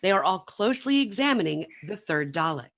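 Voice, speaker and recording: A woman's voice: fairly low pitch, sing-song, brisk, fairly bright tone. A woman narrating the action of a radio play from a script. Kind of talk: scripted narration, reading stage directions.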